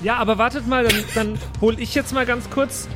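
A man's voice: extremely high pitch, steady tone, moderate pace, over music. A man playing a cheeky young woman who talks back, in an improvised tabletop audio adventure. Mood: eager.

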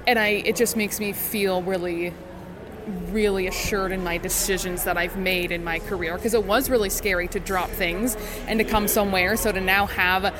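A woman's voice: medium pitch, fairly even intonation, moderate pace, noisy background. A woman from the United States, a sports broadcaster being interviewed, telling her story in an earnest, articulate, conversational way.

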